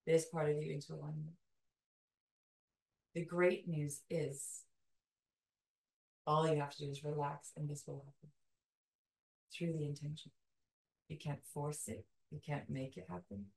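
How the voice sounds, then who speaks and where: Woman, meditation guide, roomy distant recording, even narrow intonation, slow soothing phrases, long pauses. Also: low voice, creaky. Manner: soothing, calming delivery. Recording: roomy echo, distant from mic.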